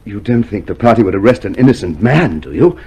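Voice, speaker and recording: An actor playing a meek, eager, deluded party loyalist under arrest, nervous and chummy, in a radio drama. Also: male voice, on the low side, big pitch swings, quick pace, a little mellow, husky. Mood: nervous eagerness.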